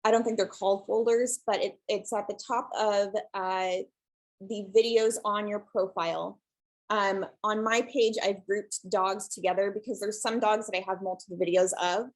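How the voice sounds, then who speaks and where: A woman from the presenting in a webinar over a video call, soft and relaxed, with many pauses and ums. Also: medium pitch, low-quality recording. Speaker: guest presenter, shelter social media creator.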